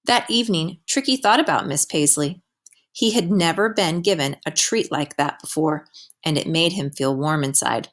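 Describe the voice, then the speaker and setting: A woman reading a children's picture book aloud as a teacher would, with expressive storytelling delivery. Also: sits low, normal speed.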